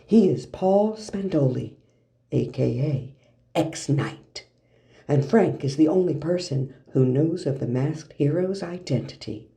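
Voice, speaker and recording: A man's voice: a little high, expressive, a little slow, creaky, mellow. A man acting as the dramatic narrator of a superhero audio drama, reading scripted narration. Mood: dramatic, solemn. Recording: faint music behind the voice.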